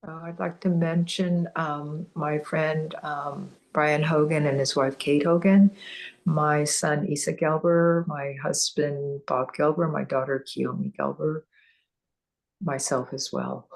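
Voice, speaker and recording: A woman joining the ceremony online, slowly and hesitantly listing names with fillers. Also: low voice, flat delivery, creaky, low-quality recording. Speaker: online participant offering dedications.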